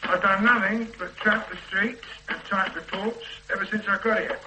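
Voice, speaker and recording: An actor playing a wry, sardonic police sergeant in a radio drama. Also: male voice, high-pitched, fairly even intonation, normal speed, background noise, close to an everyday voice. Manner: dry and sardonic.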